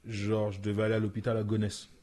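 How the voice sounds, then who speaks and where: A man from France, a comedian guest, telling stories in a casual podcast chat with lots of quick restarts. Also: lowish voice. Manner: animated storytelling, frequent stammered restarts.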